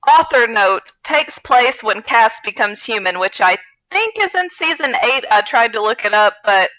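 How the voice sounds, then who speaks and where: A woman podcast host with a bright, fairly high voice. She is giggly and wry, moving between casual chat and comic reading aloud. The sound is close and dry. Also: expressive, normal speed, no room sound, poor audio. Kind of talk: comedic read-aloud of fan fiction with casual chat.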